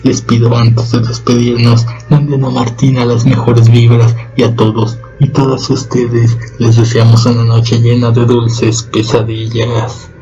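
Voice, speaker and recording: A man hosting a horror radio show, speaking slowly in an ominous, theatrical manner. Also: mid-range voice, little pitch movement, highs cut off, over music. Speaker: host.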